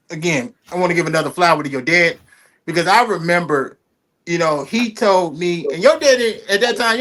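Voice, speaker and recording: Male host, slightly high voice, eager and rambling. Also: a bit bright, rough recording. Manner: enthusiastic, rambling, heavy fillers and repeats. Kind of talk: casual interview.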